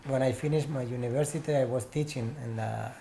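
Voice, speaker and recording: A man in his forties from Peru, with a Spanish accent, telling his story in halting English full of pauses and restarts. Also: medium pitch, steady tone, gravelly, dark tone, a little hiss or hum. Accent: Peruvian Spanish. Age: 40s. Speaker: interviewee, painter. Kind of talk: casual interview. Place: small room with painted walls, indoors.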